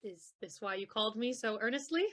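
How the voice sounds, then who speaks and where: A woman's voice: fairly high pitch, expressive, brisk, fairly bright tone. A woman playing a dutiful but secretly rebellious young daughter in love in a Shakespearean audio play.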